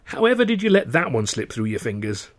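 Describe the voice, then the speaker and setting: A man's voice: medium pitch, sing-song, fairly quick, close to an everyday voice. A man reading an audiobook voices a genial, guileless young comedian friend with an English accent. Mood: furtive, chatty.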